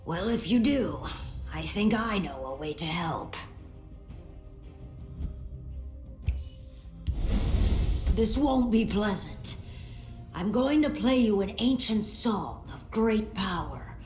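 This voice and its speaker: An actress playing a calm, caring bard companion in a fantasy video game, measured and serious in manner. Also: female voice, medium pitch, close to an everyday voice. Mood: grave. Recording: echoey, faint music behind.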